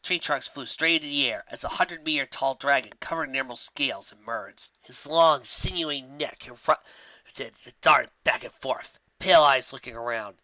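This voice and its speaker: A man's voice: medium pitch, moderate pace, bright, forceful tone, dry recording, muffled recording. A man narrating a fantasy story dramatically, as a reader of fan fiction.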